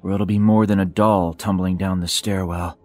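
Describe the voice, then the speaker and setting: Guy playing a stubborn veteran diver in an audiobook, low voice, terse and understated, dry sound. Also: fairly even intonation, normal speed, darkish tone, no room sound, close to an everyday voice. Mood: wary. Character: gruff, bitter, seasoned male diver, stubbornly self-reliant. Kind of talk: audiobook character voice.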